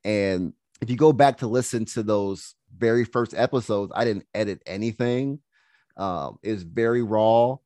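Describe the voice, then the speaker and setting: Young health coach dude, deep-ish voice, laid-back storytelling, dry sound. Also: male voice, normal speed, no room sound. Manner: relaxed, laughs easily, lots of fillers like "you know". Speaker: health coach and podcast guest. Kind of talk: casual interview storytelling.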